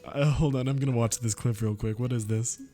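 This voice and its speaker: A man's voice: medium pitch, steady tone, warm tone, soft background music. A man chatting casually to viewers on a stream between reading lines. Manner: laughs between lines, quick asides.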